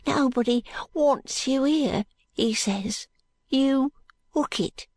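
A woman voicing a frightened, ragged London street boy in an audiobook, with a Cockney accent and hushed, halting, uneducated speech. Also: high voice, no room sound, pitched above the performer's own voice. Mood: wretched, defensive.

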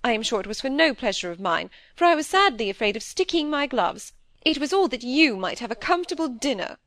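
A woman's voice: on the high side, very animated, a little fast, no echo, clean studio sound, voice pushed up. A woman reading an audiobook, voicing a middle-aged wife who is fretful, wheedling and full of plaintive excuses. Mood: tearful, aggrieved. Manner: plaintive, pouting, evasive.

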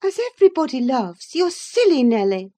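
A high, swooping young lady's voice acted by a woman narrator, headstrong and petulant. She is impulsive and insistent, full of exclamations, as in a dramatised audiobook of a classic novel. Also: big pitch swings, moderate pace, mellow. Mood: petulant, defiant.

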